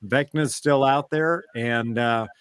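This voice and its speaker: A male podcast host with a mid-pitched voice, chatting casually. He tends to feel his way toward the right word, and the recording is dry, with hardly any room sound. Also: takes it slowly, a bit bright, smooth, no echo. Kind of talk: casual chat.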